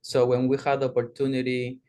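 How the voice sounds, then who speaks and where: Flat-voiced man from Peru. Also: mid-range voice, brisk. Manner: steady, with frequent ums and uhs. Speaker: former student guest. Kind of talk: personal story on a video call.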